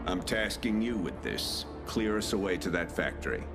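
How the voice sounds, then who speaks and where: An actor playing a stern, resolute older captain in a military game, giving briefings with authority in an American accent. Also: male voice, sits low, lively intonation, normal speed. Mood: commanding. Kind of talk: cinematic military game acting. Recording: loud music over the voice.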